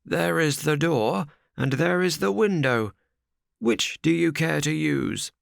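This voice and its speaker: A man voicing a furious old farmer who shouts at his daughter's unwanted suitors. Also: a little high, expressive, slow, vocal fry, no echo, studio quality, pitched above the performer's own voice, full character voice. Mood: fierce. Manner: shouting, sardonic. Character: furious old male farmer, fierce father.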